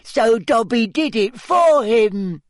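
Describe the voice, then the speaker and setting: A man voicing a squeaky, excitable male house-elf servant who speaks of himself in the third person. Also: takes it slowly, no room sound, clean studio sound, exaggerated voice. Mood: urgent.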